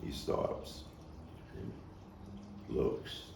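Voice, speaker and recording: A man talking through the stage directions of a play in a halting manner, as its playwright and actor. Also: darkish tone. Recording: roomy, noisy, distant microphone.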